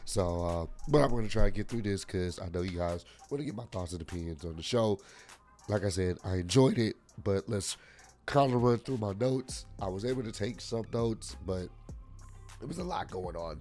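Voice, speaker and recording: A man in his thirties with a hoarse, worn-out voice, casually reviewing a wrestling event from a desk microphone at home. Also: on the low side, animated, normal speed, a little mellow, soft background music. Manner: casual, rambling with frequent fillers. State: hoarse, lost voice. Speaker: wrestling vlogger. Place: home room with lit shoe shelves. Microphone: desk mic on arm.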